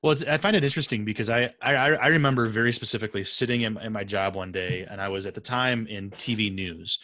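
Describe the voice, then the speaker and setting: A man's voice: lowish voice, fast, sharp tone. A man hosting a podcast, chatting casually and telling anecdotes with emphatic, repeated phrases. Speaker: podcast host, interviewer.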